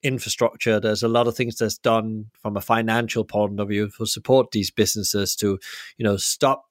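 Male host from Denmark, lowish voice, hesitant and filler-heavy, dry close sound. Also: flat delivery, normal speed, dry recording. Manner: hesitant, many fillers and restarts. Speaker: interview host. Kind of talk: conversational interview.

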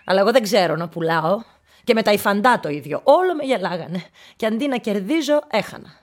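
An actress playing an unstable, childlike middle-aged widow in a radio play, defensive and pleading, swinging between agitated protest and conspiratorial murmurs. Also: female voice, medium pitch, lively intonation, natural voice. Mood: aggrieved, agitated.

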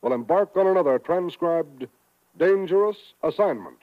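A man's voice: sits high, expressive, normal speed. An American man announcing for network radio in a formal, polished, emphatic 1950s style, reading from a script. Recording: tinny narrow-band 1950s radio recording.

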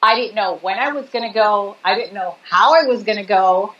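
Female interview guest from New York, earnest and explanatory, mid-pitched, somewhat dull recording. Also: fairly quick, fairly bright tone. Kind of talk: conversational interview. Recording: slightly dull audio.

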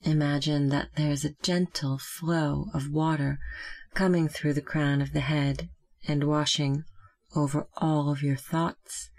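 A meditation guide speaking gently and calmly, giving slow, measured instructions with long pauses. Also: mid-range voice.

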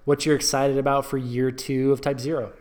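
An upbeat man hosting a podcast, talking at an ordinary middle pitch. His delivery is casual and encouraging, with lots of "you know" and "uh" as he chats with his guest. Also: level tone, a little fast, darkish tone. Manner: upbeat, encouraging, many fillers. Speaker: podcast host. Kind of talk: casual interview chat.

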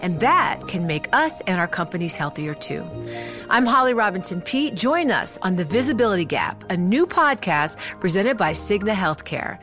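A woman's voice: medium pitch, very animated, moderate pace. Earnest woman reading an ad over music. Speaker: ad spokesperson. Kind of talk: scripted advertisement read.

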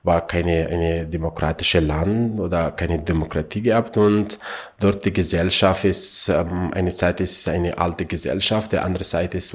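A man from Afghanistan, answering interview questions in halting, broken German with many fillers. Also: deep voice, husky. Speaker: refugee youth helper.

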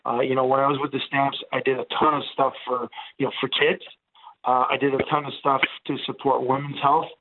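A man's voice: medium pitch, flat delivery, brisk, background noise. Man in his forties on a phone line. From Montreal, Canada. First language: Italian. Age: 40s. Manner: chatty, rambling, many fillers. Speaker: radio guest, former football player. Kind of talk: casual radio chat.

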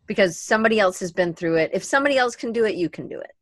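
A woman's voice: medium pitch, animated, very fast. A woman hosting a casual podcast, encouraging and conversational, with some light teasing. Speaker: podcast host.

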